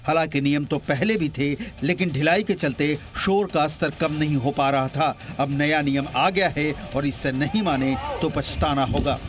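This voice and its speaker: A man's voice: a little high, moderate pace, few pauses. A man delivering an emphatic, scripted television news report as a reporter. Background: music bed.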